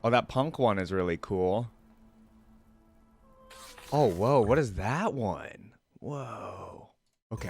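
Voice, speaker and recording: A man hosting a reaction video, commenting casually and off the cuff with short, admiring, informal remarks. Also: fairly low pitch.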